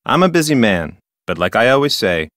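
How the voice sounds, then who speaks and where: An actor playing an officious, dutiful and touchy park ranger in a video game, speaking in a formal, self-important manner. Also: male voice, mid-range voice, sing-song, fairly quick, fairly bright tone, no room sound, clean studio sound. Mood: earnest, self-important. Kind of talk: video game character dialogue.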